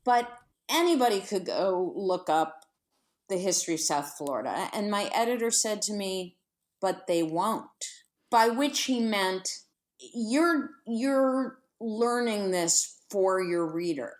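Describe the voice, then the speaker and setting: A woman speaking as an interviewed writer, explaining something in a measured, conversational way. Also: mid-range voice, expressive.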